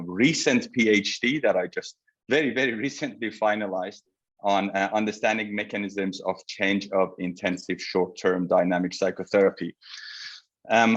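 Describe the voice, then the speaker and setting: Man in his 40s, deepish voice, courteous lecturer on a muffled video call. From Iran. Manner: polite, measured, addresses audience directly. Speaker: presenter, psychotherapy researcher. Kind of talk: webinar lecture. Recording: slightly dull video call audio.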